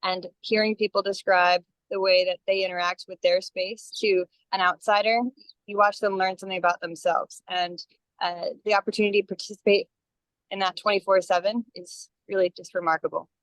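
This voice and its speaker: A woman's voice: medium pitch, little pitch movement, fairly quick, no echo, low-quality recording. Woman architect with a dry, close sound. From Arlington. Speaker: architect, fellowship member. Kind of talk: video call Q&A.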